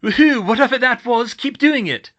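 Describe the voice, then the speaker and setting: A man voicing a daring, brash young male wizard in a storybook, with a brisk and casual manner. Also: fairly high pitch, pushed and bright, muffled recording, voice pushed up. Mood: exhilarated.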